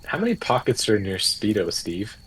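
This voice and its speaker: A man in an improvised comedy podcast, throwing in slow, deadpan quips. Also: fairly low pitch, noisy background.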